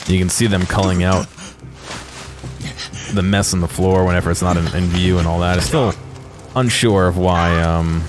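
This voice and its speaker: A man giving casual, unscripted video game commentary in a halting way, as if thinking aloud. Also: sits low, steady tone. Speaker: host of a game exploration video. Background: music behind the voice.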